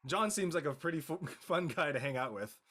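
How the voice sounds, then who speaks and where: Halting man introducing himself. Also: fairly high pitch, animated, bright, forceful tone. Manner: halting, repeating words. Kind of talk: casual introduction on a group call. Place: online group video call.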